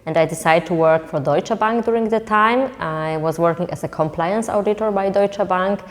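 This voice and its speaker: A woman's voice: on the low side, normal speed, big-room sound. A woman from Poland, Polish native, answering interview questions with frequent restarts and fillers. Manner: hesitant, repeating words, many fillers. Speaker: entrepreneur guest.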